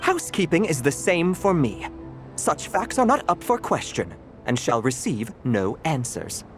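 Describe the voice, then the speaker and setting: A prim male cat butler in a video game. The delivery is pompous and formal, with highly animated swoops in pitch. Faint music sits underneath. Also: a little slow. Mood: indignant, proud.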